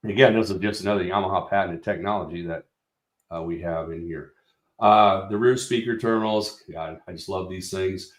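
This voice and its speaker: Low-voiced man explaining speaker tech. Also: moderate pace, echoey room. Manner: explanatory, frequent fillers and restarts. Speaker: company product representative. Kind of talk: technical product walkthrough.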